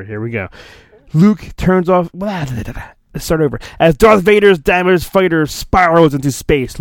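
A man hosting a podcast, giving a mock-dramatic storybook reading and breaking into jokey, deadpan asides. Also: a little high, no room sound. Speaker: podcast host.

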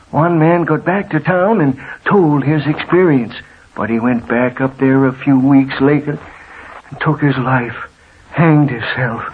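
Guy doing spooked folksy american townsman, highish husky voice, old tinny radio drama. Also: a little hiss or hum, natural voice. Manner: folksy, ominous storytelling. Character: superstitious, fearful small-town local man, folksy and stubborn. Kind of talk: 1940s radio drama acting.

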